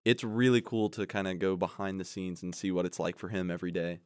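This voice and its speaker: A man's voice: sits low, fairly quick, clear, resonant tone, no room sound. Young male podcast co-host, dry studio sound. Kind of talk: casual podcast interviewing. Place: recording studio.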